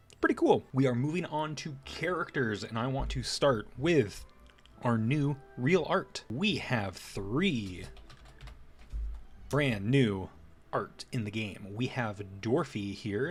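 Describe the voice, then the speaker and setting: A man presenting game patch notes in an upbeat, conversational commentary style. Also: mid-range voice. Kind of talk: casual scripted game update commentary.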